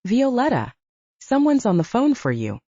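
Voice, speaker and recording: A woman's voice: a little high, huge pitch swings, moderate pace, warm tone, no echo, lo-fi sound, voice pushed up, heavily acted. A woman voicing a wry, casual, teasing male housemate in an audiobook. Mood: calling out.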